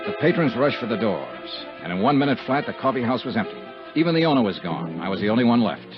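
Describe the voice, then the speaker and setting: A man's voice: medium pitch, sing-song, fast talker, close to an everyday voice. A man plays a hard-boiled American investigator narrating and acting in vintage radio drama. His mid-range voice moves a lot, blunt and sardonic. The old recording is dull, with prominent music behind. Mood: grim narration. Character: tough, wry insurance investigator hero. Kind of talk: 1950s radio detective acting and first-person narration.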